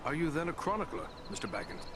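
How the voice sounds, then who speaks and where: An actor playing an earnest, courteous human ranger hero in a fantasy game, speaking formally. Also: male voice, a little high, sing-song, quick pace, background noise. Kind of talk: fantasy game cutscene acting.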